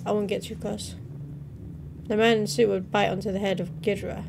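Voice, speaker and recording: A young woman hosts a reaction video in a casual, informal way. Her voice sits at a medium pitch. She reads text aloud and drops in her own comments, recorded indoors with some faint noise behind her. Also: level tone, fairly quick, vocal fry. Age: young adult. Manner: informal, interjecting between read-out captions. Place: small room at home, indoors. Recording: noticeable background noise.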